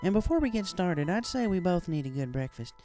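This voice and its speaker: A man's voice: high-pitched, very animated, fairly quick, dark tone, soft background music, pitched above the performer's own voice. A man voicing a hearty female farm pony who gives folksy, encouraging instruction in an audiobook story. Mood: friendly, bossy.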